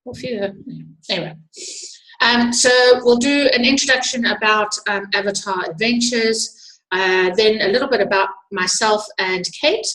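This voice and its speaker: A woman's voice: medium pitch, normal speed, fairly bright tone. South African woman in an echoey room. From Zimbabwe and South Africa. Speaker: webinar host and presenter. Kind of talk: informal webinar presentation. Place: indoors at a computer, online webinar. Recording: echoey room on computer microphone.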